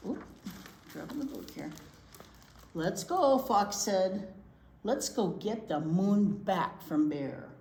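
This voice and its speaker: A woman reads a children's story aloud with big, lively swings in pitch and an unhurried pace. She is expressive and chatty, putting questions to young listeners. The room is echoey. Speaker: children's library teacher reading aloud. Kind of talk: animated picture-book read-aloud for young children. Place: indoor room at a library. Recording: roomy echo, noticeable background noise.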